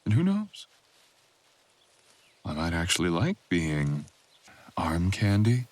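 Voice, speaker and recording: Man playing a very deep-voiced brooding supernatural boyfriend. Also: huge pitch swings, slow pace, darkish tone, heavily acted. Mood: teasing, provoking. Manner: intimate, teasing, drawling. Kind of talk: boyfriend ASMR audio roleplay.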